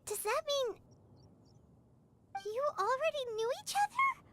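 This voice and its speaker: Game role: small devoted granddaughter, extremely high voice, pleading and earnest, unhurried. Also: female voice, big pitch swings, sharp tone, slight background noise, full character voice. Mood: puzzled. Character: small devoted granddaughter, anxious, loving young girl. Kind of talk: dramatic video game voice acting.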